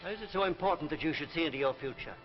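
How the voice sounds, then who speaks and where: Actor playing a grave, weary old scientist, muffled recording. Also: male voice, fairly high pitch, natural voice. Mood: grave, measured. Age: older. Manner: measured, formal, grave. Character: weary, principled old scientist who sees futures. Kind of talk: 1950s television drama acting. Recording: muffled vintage TV broadcast, music behind.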